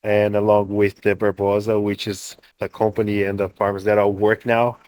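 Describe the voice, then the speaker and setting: A man with a lowish voice talks in a flat, even way with little rise or fall. He is plain-spoken and steady. The sound is dry and close. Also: normal speed, no room sound. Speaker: coffee buyer for farmers. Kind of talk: casual interview answers.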